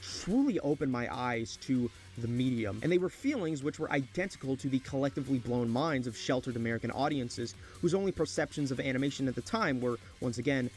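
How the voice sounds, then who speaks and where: Mid-pitched male video essay narrator over music. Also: animated, brisk, hardly any pauses, a little mellow. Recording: faint music behind narration. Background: faint music.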